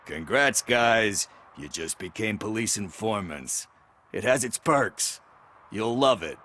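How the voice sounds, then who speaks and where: An actor playing a sardonic, street-smart man with a husky voice in a video game. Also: on the low side, expressive, slow, sharp tone, a little hiss or hum, heavily acted. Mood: teasing.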